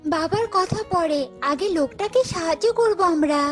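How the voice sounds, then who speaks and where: Woman voicing a very high-pitched young princess. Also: normal speed, faint music behind. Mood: kind, insistent. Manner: earnest, insistent. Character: kind, headstrong young princess. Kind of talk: children's cartoon voice acting.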